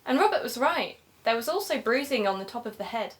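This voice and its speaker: A woman's voice: on the high side, expressive, fairly quick, close to an everyday voice. Woman playing a methodical amateur investigator. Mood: thoughtful. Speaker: podcast co-host in an audio drama. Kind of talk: conversational audio drama acting.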